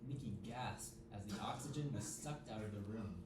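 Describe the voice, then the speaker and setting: A man's voice: lowish voice, moderate pace. A young man reading story narration as an amateur volunteer, recorded from a distance in a very noisy, echoey room. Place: indoor workshop room.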